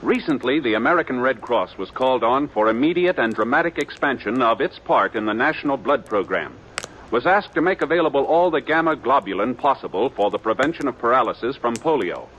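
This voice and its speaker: A formal 1950s radio announcer reading a public service message in a measured voice. His voice is mid-pitched and faint hiss runs under the broadcast. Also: expressive, fairly bright tone, highs cut off.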